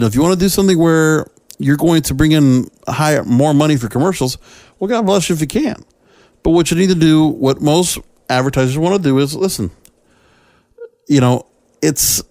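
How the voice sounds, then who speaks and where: A man's voice: fairly high pitch, a little mellow, no echo, studio quality. A man hosting a solo podcast, giving opinionated commentary in a conversational, emphatic way and addressing listeners directly. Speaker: podcast host.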